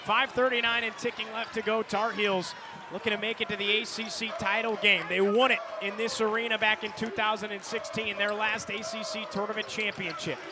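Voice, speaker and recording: Man, American accent, high voice, radio play-by-play announcer, clipped basketball calls, loud arena noise behind. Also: brisk. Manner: clipped phrases, builds to excited calls on big plays. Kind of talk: live basketball commentary. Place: courtside in a large indoor arena. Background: loud arena noise, bouncing basketball.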